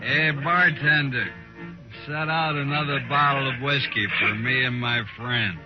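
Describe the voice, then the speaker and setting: A male actor as a swaggering outlaw from an old radio western. He talks slowly and boastfully in folksy cowboy phrases, quick to suspect. The recording is dull and vintage. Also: medium pitch, fairly even intonation, music in the background, lightly acted. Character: swaggering, suspicious outlaw gunman of the Old West. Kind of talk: 1950s radio western acting.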